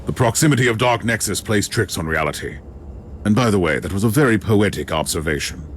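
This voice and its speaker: Deep, stern commanding inquisitor played by a man. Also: lively intonation, a little fast, a little hiss or hum, close to an everyday voice. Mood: grave. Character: grim, authoritative male inquisitor, steadfast and protective. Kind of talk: dramatic video game acting. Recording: loud music behind the voice.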